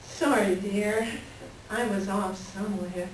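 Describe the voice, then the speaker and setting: A woman's voice: mid-range voice, steady tone, slow, lightly acted. A woman playing a wistful, melancholy married woman in a staged play reading, with a reflective storytelling manner. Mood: subdued, pensive. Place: library reading before a microphone at some distance. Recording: very echoey room, distant mic, faint noise.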